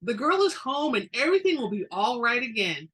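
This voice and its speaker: An excitable, earnest best friend from an audiobook, put on by a woman reader. She pleads and exclaims hopefully, in a bright, forceful voice that turns husky, lifted high. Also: brisk, heavily acted. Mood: hopeful, pleading.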